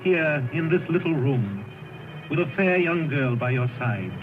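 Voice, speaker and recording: A man playing a solemn male spirit of the past in a 1930s radio drama, speaking in a grave, measured, commanding way. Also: fairly high pitch, animated. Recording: tinny old radio broadcast with music.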